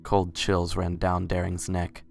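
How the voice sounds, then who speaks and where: A man serves as narrator for a dramatic story reading. His voice is low, and faint music plays beneath the scripted narration. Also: monotone, unhurried, vocal fry.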